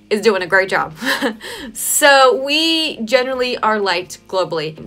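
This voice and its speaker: A young woman with a Toronto Canadian accent, chatting playfully to the camera in a vlog and laughing often. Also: on the high side. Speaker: vlogger. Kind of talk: casual vlog talk to camera. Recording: faint music underneath. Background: soft background music.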